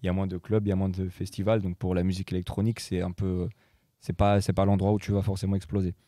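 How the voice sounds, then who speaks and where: A man's voice: deep voice, flat delivery, fast, mellow, no room sound, clean studio sound. A young man in his twenties, a guest DJ and producer who grew up in Morocco, chatting casually with many hesitations and fillers. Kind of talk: casual chat.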